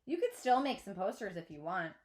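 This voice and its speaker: A woman's voice: mid-range voice, sing-song, fairly quick, a little mellow, close to an everyday voice. A woman reading a storybook voices a bossy, smug schoolgirl captain with a haughty, emphatic, impatient delivery.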